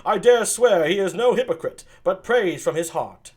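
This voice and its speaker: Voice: medium pitch, lively intonation, moderate pace, a little mellow, pitched above the performer's own voice, lightly acted. A reader voicing a genial prince addressing his company, formal and raised.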